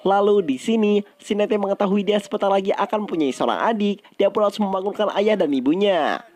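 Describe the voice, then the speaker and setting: High-pitched man narrating casually. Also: a little fast, a little mellow. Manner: colloquial, conversational. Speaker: movie recap narrator. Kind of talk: casual scripted storytelling narration.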